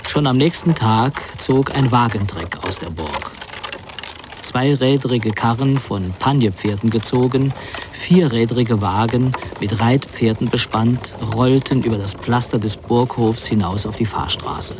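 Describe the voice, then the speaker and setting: A man narrating a radio play as a sober chronicler of war, in a measured, literary and factual way. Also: mid-range voice, background noise, natural voice. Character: sober male chronicler recounting the end of the war.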